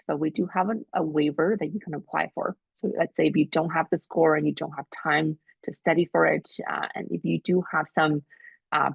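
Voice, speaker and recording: A woman hosts an admissions webinar and walks through deadlines and rules in a practical, advising way, full of fillers. Her voice is husky with a gravelly edge. The sound is dry and muffled, like a video call mic. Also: deep voice, darkish tone, no echo. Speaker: admissions staff host. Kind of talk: webinar presentation. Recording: muffled, dull webinar audio.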